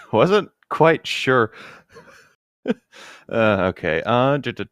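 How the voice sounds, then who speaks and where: A man's voice: mid-range voice, sing-song, extremely slow, a bit bright, dry recording, clean studio sound. A man co-hosting a book read-aloud, switching between narrating a novel and casual, slightly hesitant joking commentary.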